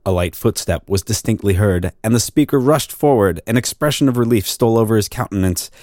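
A man's voice: lowish voice, fairly quick, darkish tone, no echo, studio quality. A man giving a formal, dramatic scripted narration in a storytelling manner. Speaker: actor reading as narrator. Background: music.

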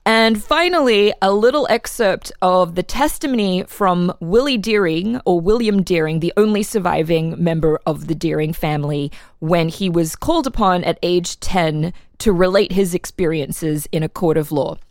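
A woman's voice: fairly low pitch, moderate pace, few pauses, no echo, clean studio sound. A woman podcast host giving an articulate, informal, conversational introduction.

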